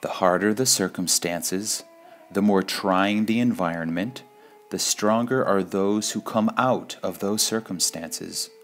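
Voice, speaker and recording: Male reader, deep voice, unhurried and deliberate delivery of spiritual quotations, music underneath. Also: fairly bright tone. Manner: measured, deliberate, with pauses between phrases. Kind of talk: slow devotional quote reading.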